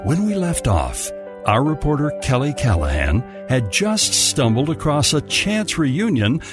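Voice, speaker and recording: Male radio drama narrator, medium register, animated delivery, prominent music bed. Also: medium pitch, a little slow. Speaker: narrator and announcer. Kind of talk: scripted radio story narration.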